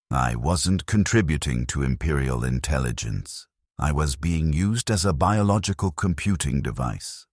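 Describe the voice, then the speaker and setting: A man narrating a dark first-person story in a grave, measured, brooding way. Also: rumbling low, no echo, studio quality.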